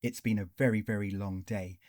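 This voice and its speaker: A man narrating a documentary in a measured, scripted way. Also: lowish voice, steady tone, darkish tone. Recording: faint background noise.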